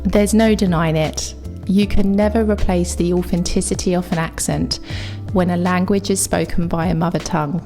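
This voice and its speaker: Measured London woman with music behind. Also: lowish voice, steady tone, warm tone. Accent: London English. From England. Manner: measured, reflective, opinionated. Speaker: English teacher. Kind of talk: scripted video essay.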